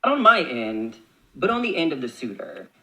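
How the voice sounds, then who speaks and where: A man's voice: medium pitch, wildly swooping pitch, moderate pace, pushed and bright, rough recording. A man chatting candidly to camera, telling a dating story in a casual way. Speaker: clip speaker.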